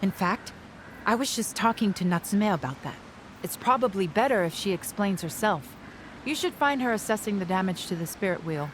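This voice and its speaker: A woman playing a pragmatic town steward in a video game cutscene, speaking in a measured, formal way. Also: medium pitch, expressive, slight background noise, natural voice. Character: composed, pragmatic female town steward and adviser.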